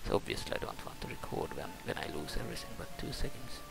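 Very gravelly, low-voiced Swedish man. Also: sing-song, fast, whispered, poor audio. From Sweden, adopted from Brazil. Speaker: gaming video host. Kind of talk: casual unscripted game commentary. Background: loud game music.

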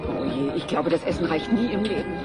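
An actress playing a worried suburban wife and party hostess in a sitcom audio drama, anxious and plaintive. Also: female voice, lowish voice, animated, brisk, over loud music, natural voice.

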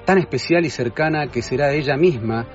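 A man's voice: mid-range voice, a little fast, soft background music. Male radio co-host, dull audio. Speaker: co-host, film music critic. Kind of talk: radio chat.

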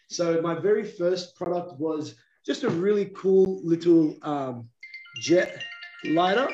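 A man's voice: a little high, mellow. A man in his thirties, a business owner, chatting casually on a video call from an office, with lots of ums. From Australia. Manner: chatty, gestures with his hands, many ums. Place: office, indoors. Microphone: webcam on a video call. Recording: echoey, muffled video call.

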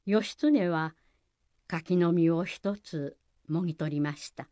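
A woman's voice: on the low side, slow pace, dark tone, dry recording. A woman narrating a historical folk tale for a radio drama as a scripted storyteller. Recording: dull, lo-fi sound. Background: music.

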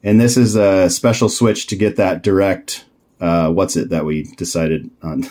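A man's voice: low-pitched, fairly even intonation, measured pace, darkish tone. A man giving a casual, unscripted DIY tutorial, thinking aloud and correcting himself in an easygoing way. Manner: easygoing, laughs at own mix-ups. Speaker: DIY electronics builder and presenter.

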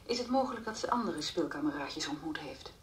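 An actress playing a calm, methodical police interrogator in a radio play, asking measured, probing questions. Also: female voice, low voice. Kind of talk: Dutch radio play acting, naturalistic. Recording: old radio play recording, faint hiss.

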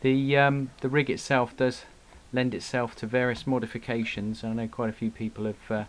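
A man giving an unscripted hobbyist product demonstration in a halting way, with frequent pauses, recorded indoors on a camera mic. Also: medium pitch, little pitch movement. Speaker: radio hobbyist presenting gear. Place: indoor room at a radio desk. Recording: clear background noise, clicks.

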